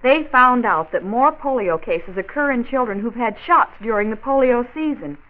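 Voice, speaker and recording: An actress playing a calm, sensible doctor's wife in a 1940s radio drama, explaining things in a measured, reassuring manner. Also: female voice, on the high side, narrow, tinny audio, natural voice. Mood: reassuring, explanatory. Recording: band-limited old radio recording.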